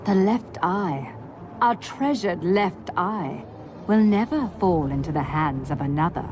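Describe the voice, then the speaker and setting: Slow-speaking, grave, stern witch rival. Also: on the low side, animated, noisy background. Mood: fervent. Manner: grave, formal, declamatory. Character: stern, solemn witch rival guarding her clan's treasures. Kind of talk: video game cutscene acting. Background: loud music.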